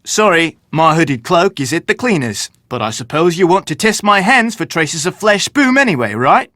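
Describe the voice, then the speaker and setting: A man's voice: a little high, very animated, normal speed, sharp tone, dry recording, clean studio sound. A man voicing a sarcastic, dramatic, curse-obsessed film crew member in a video game, with theatrical delivery. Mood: sarcastic, defensive.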